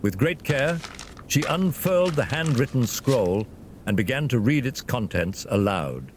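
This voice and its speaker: A man narrating a scripted fantasy story as a dramatic, expressive storyteller. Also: a little slow. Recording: loud ambient rumble behind narration.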